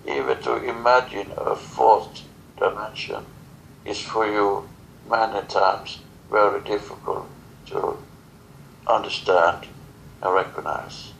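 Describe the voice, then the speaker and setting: A man with a low, heavily creaky voice speaks non-native English in solemn, broken phrases, as if delivering channeled spiritual teaching. His delivery is very slow, and there is faint noise behind him. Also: a bit bright, low-quality recording. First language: not English. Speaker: spiritual channeler answering questions.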